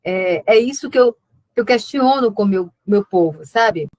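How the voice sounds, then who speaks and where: A woman's voice: medium pitch, expressive, moderate pace, a little mellow. An invited woman writer on an online panel, northern Brazilian accent, thinking aloud and circling back over her words in a dry, echo-free spot. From Amazonas, Brazil (Alto Solimões). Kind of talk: conversational talk in a web conference. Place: remote web conference.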